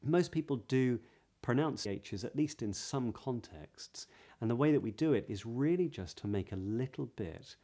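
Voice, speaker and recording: A man with a southern British English accent giving a pronunciation lesson as a voice coach, explaining clearly and modelling sounds. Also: mid-range voice, animated, moderate pace, warm tone. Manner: clear, demonstrative, breathy example sounds.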